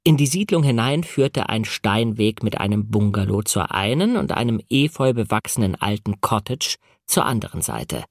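A man narrating a novel as an audiobook, in a measured, deliberately phrased way with pauses. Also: lowish voice, very animated, no room sound, studio quality.

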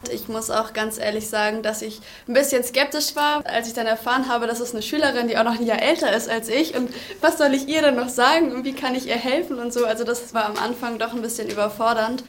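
Young woman, 19, candid chat, higher but flat delivery. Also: quick pace. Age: young adult. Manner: candid, chatty. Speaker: school companion.

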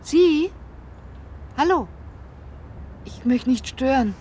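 A polite, forthright stranger in a radio crime drama. Her female voice is high, with some noise in the background. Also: expressive, slow, darkish tone. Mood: polite, brisk.

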